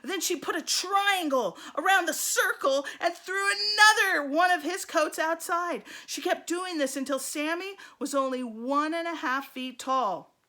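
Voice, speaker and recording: A woman teacher reading a children's storybook aloud, expressively and with deliberate dramatic emphasis. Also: extremely high pitch, sharp tone.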